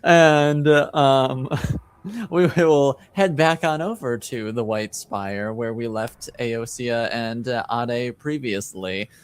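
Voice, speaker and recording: Slow, smooth-voiced male game master. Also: mid-range voice. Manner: halting, hesitant phrasing. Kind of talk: improvised tabletop game narration.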